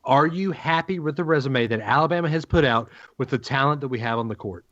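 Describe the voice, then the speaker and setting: A man's voice: medium pitch, fairly quick, fairly bright tone. A man hosting a sports podcast with casual banter, moving the conversation along. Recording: dull lo-fi podcast audio.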